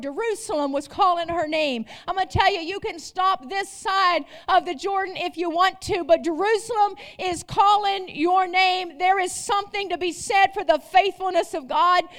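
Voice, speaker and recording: A woman with a very high voice delivers a sermon to her congregation. She is emphatic, repeats her phrases and keeps asking the room rhetorical questions. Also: fairly bright tone. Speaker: preacher.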